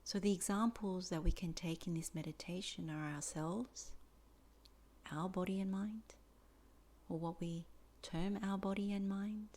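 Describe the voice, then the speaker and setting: A woman teaching a guided meditation in soft, deliberate phrases with many pauses and a breathy fall at phrase ends. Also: medium pitch, fairly even intonation.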